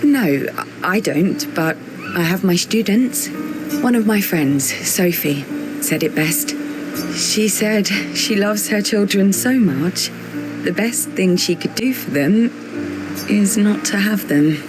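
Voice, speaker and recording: An actress playing a guarded, wry young teacher with quiet melancholy, in a measured, naturalistic video game cutscene style. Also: female voice, medium pitch, lively intonation, poor audio. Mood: sober, reflective. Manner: dry, teasing, measured. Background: music.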